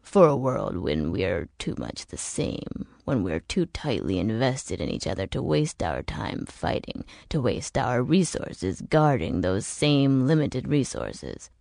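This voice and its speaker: A woman voicing a bitter, world-weary older man in an audiobook, explaining grim things in a measured, emphatic way. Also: a bass voice, very animated, vocal fry, a little mellow, dry recording, clean studio sound, pitched below the performer's own voice, full character voice. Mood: grave. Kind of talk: audiobook character dialogue.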